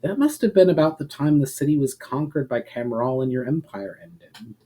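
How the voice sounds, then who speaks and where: Storybook elf traveler, male man acting: polite, inquisitive, earnest, formal, pitched up from the reader's natural voice. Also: expressive, a little fast, mellow, close to an everyday voice. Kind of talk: dramatic storybook reading.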